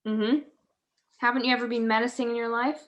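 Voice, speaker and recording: Woman acting a wry lady sleuth, high-voiced. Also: level tone, brisk, highs cut off, close to an everyday voice. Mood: wry, probing. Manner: wry, teasing. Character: quick-witted wry female amateur sleuth. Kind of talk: 1940s radio mystery acting.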